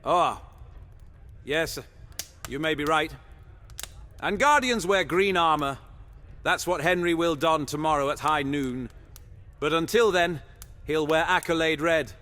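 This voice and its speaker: A man's voice: fairly high pitch, measured pace, pauses often, sharp tone. A man playing a proud, gossipy paladin who banters and teases in a fantasy roleplay audio drama. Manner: teasing, indignant, conspiratorial.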